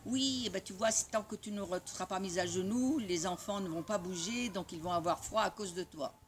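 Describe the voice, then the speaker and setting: A woman's voice: medium pitch, fairly quick, close to an everyday voice. Stern guilt-tripping nun, quoted by a woman of Italian mother tongue. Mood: coaxing.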